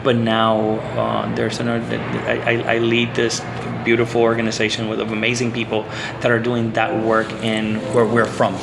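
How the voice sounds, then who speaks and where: A man's voice: medium pitch, completely flat delivery, moderate pace, creaky, hardly any pauses, background noise. A man from Puerto Rico, a social psychologist, talking earnestly as a guest in an interview, with frequent restarts and fillers. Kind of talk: conversational interview.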